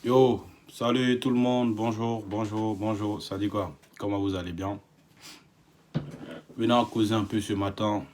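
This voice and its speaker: A man from Africa, live-streaming from a home, greeting viewers by name and growing emphatic and impassioned. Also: deep voice. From West Africa. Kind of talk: casual live stream monologue. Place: home indoors.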